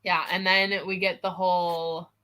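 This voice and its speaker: A woman's voice: on the low side, little pitch movement, a little slow, sharp tone, clean tone, poor audio. A woman co-hosting a casual book discussion livestream, chatty and informal, often giggling as she talks. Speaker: co-host of book discussion live show. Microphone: video call over internet.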